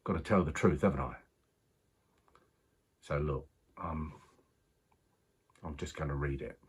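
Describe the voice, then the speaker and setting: An actor playing a rueful working-class racing man, husky, confiding a strange story in a halting, colloquial monologue. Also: rumbling low, a little hiss or hum. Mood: sombre, hesitant. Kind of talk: dramatic monologue, conversational storytelling.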